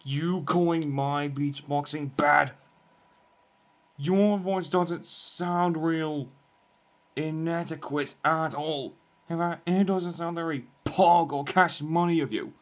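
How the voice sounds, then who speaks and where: A man's voice: a little high, slow. A man voicing a jaded, sarcastic young rival in fan game voice lines, exasperated and dismissive, full of slang. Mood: mocking, irritated.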